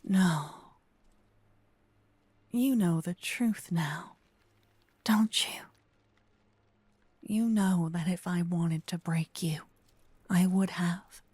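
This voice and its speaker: A woman voicing a gentle, possessive old female vampire in an ASMR roleplay, murmuring and whispering close to the microphone. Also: fairly low pitch, no echo, full character voice. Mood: teasing, intense. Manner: breathy, slow whispering and murmuring. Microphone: close binaural microphone.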